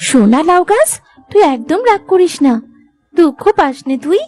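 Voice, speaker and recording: Woman as very high, childlike young village wife. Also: animated, normal speed, darkish tone, faint music behind. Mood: tender. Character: tender young village daughter-in-law, childlike and sensitive. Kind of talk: animated moral story acting.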